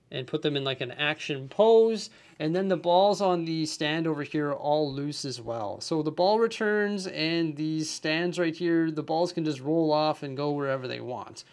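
Upbeat man reviewing a building set. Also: fairly high pitch. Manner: enthusiastic but nitpicky, explains while handling pieces. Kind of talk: hands-on product review.

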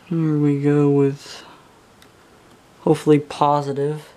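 Young guy talking slow while he fixes stuff at a bench, kinda muttering to himself, swears casually. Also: fairly high pitch, completely flat delivery, warm tone. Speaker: hobbyist electronics repairer. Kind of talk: casual hands-on repair commentary. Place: indoors at a workbench.

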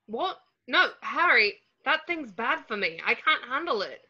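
A woman's voice: high-pitched, very animated, measured pace, bright, forceful tone, slightly rough, exaggerated voice. A woman reading the part of an awkward, defensive young man at a table read, hesitant in manner. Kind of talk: amateur screenplay table read. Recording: dull video-call audio.

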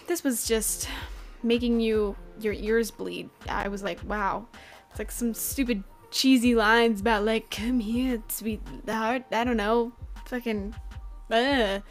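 A woman's voice: on the high side, fairly even intonation, takes it slowly, faint music behind. A young woman in her twenties giving a casual, sarcastic anime review from her bedroom, swearing and laughing often into a desk microphone. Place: bedroom at home. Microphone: desk microphone in front, webcam.

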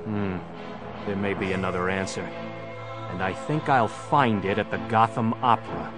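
A man's voice: lowish voice, moderate pace, lightly acted. An actor playing a stern, determined male crime-fighting hero in an audio drama, terse and brooding. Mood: pondering. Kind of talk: full-cast audio drama acting. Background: dramatic music.

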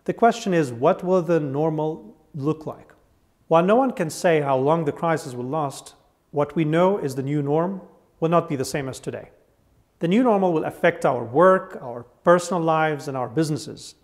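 Man giving a measured scripted presentation. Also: fairly high pitch. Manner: measured, deliberate delivery, listing points one by one. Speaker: conference presenter. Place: studio with virtual sky backdrop.

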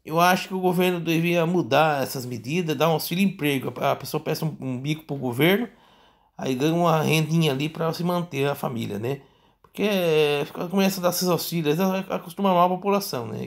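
A man with a Brazilian accent giving casual, off-the-cuff news commentary in a rambling, halting, repetitive way with frequent tag questions. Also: on the high side, creaky. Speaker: political commentary vlogger.

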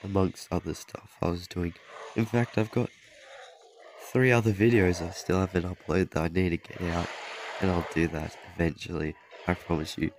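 A man's voice: sits low, fairly even intonation, moderate pace, darkish tone, slight background noise. A young man casually commenting on a mobile game he plays, rambling and correcting himself, with his voice a little off from being sick. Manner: rambling, self-correcting, chuckles often. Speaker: mobile game channel host. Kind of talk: gameplay commentary over a screen recording.

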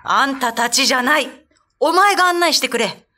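A woman playing a stern, resolute old male Buddhist priest in a radio ghost drama, with commanding, deliberate, old-fashioned speech. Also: high voice, expressive, sharp tone.

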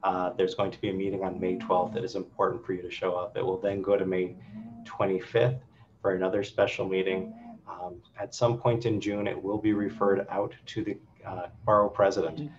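A man hosts a virtual town hall from his office, reading out questions and answering them briskly. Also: deep voice, level tone. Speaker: council member hosting a town hall. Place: office with city window, indoors. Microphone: video call. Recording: dull lo-fi video call audio with faint hum.

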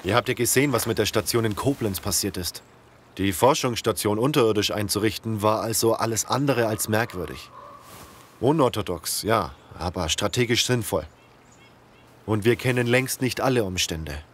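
A man playing a calm, strategic leader of survivors who explains things in a measured way in an audio drama. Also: lowish voice, slight background noise, close to an everyday voice. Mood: earnest, calm.

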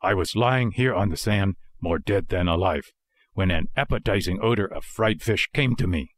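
A man voicing a good-natured, grateful big dog in a storybook, gentle and measured. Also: low voice, expressive, dry recording. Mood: friendly. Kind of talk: storybook character voice in audiobook narration.

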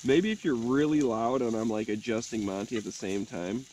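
A man's voice: mid-range voice, normal speed, darkish tone, slight background noise. A young man vlogging casually while camping in the snow at night, chatting to his dog and cooking over a fire. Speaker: outdoor vlogger. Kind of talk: casual camp vlog narration. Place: outdoors at a campfire in snow at night, then inside a snow shelter.

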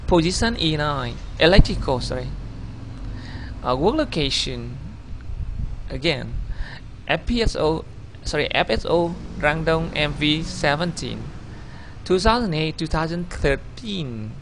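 A man in his thirties with a Vietnamese accent, a native Vietnamese speaker, narrating his own video CV over slides in a halting way, often correcting himself. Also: on the high side, very animated, noisy background. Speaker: job applicant.

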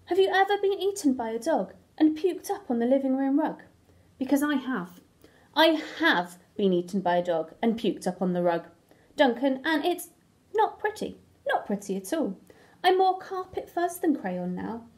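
A woman's voice: high-pitched, very animated, moderate pace, a little mellow. A woman voicing a disgusted, indignant tan crayon from a storybook, wry in its complaint.

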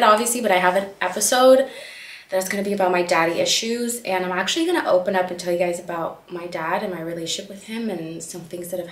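A woman's voice: lowish voice, fairly bright tone. Young woman, Dutch native speaker, candid chatty diary vlog, lots of "like", echoey apartment, camera a few feet off. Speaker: vlogger. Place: apartment living room and kitchen. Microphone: camera on a tripod at a distance. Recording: roomy echo from camera distance.

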